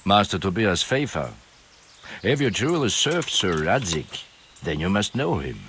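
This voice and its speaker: An actor playing a grim, guarded, world-weary knight with a formal, measured and ominous way of speaking, in a video game. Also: male voice, deep voice, very animated, a bit bright, a little hiss or hum, heavily acted. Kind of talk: dramatic video game acting. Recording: music behind the voice.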